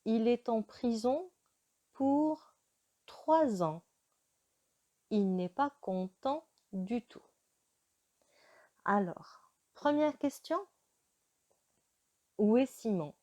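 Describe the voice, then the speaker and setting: Slow, mellow teacher. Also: female voice, fairly high pitch, lively intonation. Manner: slow, careful teaching delivery with frequent hesitations. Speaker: French teacher. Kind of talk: slow beginner language lesson.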